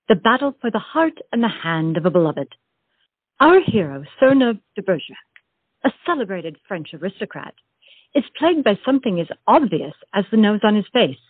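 A woman delivers theatrical scripted narration for a comedy, with lively rises and falls and a husky tone. The sound is dry and phone-like, with music behind her. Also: mid-range voice, dry recording.

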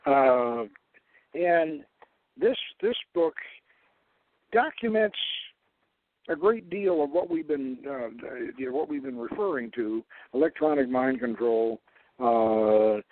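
A man hosting a radio talk show, lecturing in a deliberate, halting way. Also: medium pitch, no echo. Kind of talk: talk radio lecture, partly read aloud. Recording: tinny, phone-like radio line.

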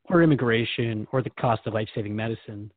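A man's voice: lowish voice, fast talker, no echo, phone-quality sound. A man hosting a conversational podcast interview, speaking in an earnest, questioning and reflective way. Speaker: host interviewing a guide.

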